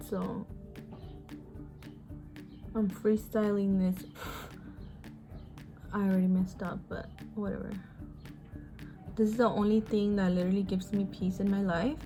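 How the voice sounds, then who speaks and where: A woman's voice: medium pitch, steady tone, a little slow, pauses often, dark tone, soft background music. A woman in her twenties, born in Mexico and raised in the US, chatting frankly and casually while doing her makeup, swearing freely and sighing often. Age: 20s. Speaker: beauty vlogger. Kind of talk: casual get-ready-with-me chat.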